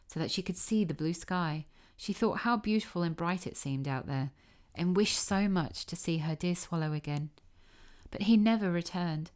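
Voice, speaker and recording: A woman narrates a scripted fairy tale for children. Her voice sits a little low for a woman, and her storytelling is measured and expressive. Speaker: audiobook narrator.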